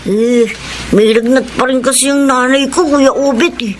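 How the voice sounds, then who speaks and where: A woman's voice: high voice, steady tone, moderate pace, slight background noise, close to an everyday voice. A woman playing a poor, worried young boy in a radio drama, meek and polite, with a Filipino accent. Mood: sad, grateful.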